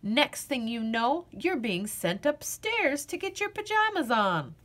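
Slightly high, unhurried woman reading a picture book aloud to children, playful and expressive. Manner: playful and expressive, acting out yawns.

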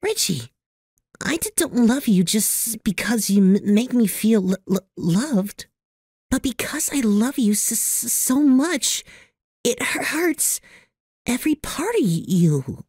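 A woman voicing a gentle, devoted, nerdy young man with a heavy stutter in a comic dub. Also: fairly high pitch, sing-song, slow, breathy edge, no room sound, studio quality, exaggerated voice. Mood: tender, pleading. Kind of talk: amateur comic dub acting.

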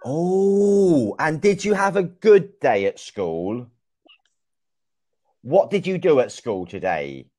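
A young man from England, teaching a young child over a video call in slow, clear, encouraging speech full of exclamations. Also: on the high side. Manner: slow, clear and lively, often exclaims and laughs. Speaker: online English teacher. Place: small room indoors. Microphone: video call with wired earphone mic.

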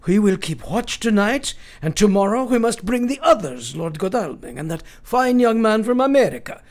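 Radio drama professor: older, eccentric, solemn, very animated intonation, creaky edge, quaint formal phrasing. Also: male voice, sits high, full character voice. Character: eccentric, grave, older male foreign professor and vampire hunter.

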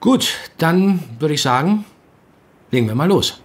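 A man's voice: on the high side, lively intonation, measured pace. An actor playing a courteous, businesslike male agency organiser in a naturalistic audio drama. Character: smooth, businesslike agency man, formally courteous.

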